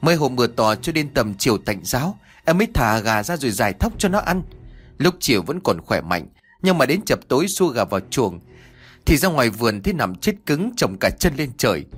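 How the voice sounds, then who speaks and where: A man's voice: medium pitch, very animated, fairly quick, husky, soft background music. A man voicing a distraught village woman in a sobbing, lamenting manner for a told story. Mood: tearful, insistent. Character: distraught, wailing village woman who lost her hens.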